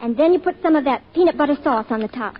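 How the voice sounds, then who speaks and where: A woman's voice: high-pitched, lively intonation, fast. An actress playing a friendly, perky young woman from a small town in an old-time radio drama, sweet and chirpy. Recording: muffled vintage radio recording.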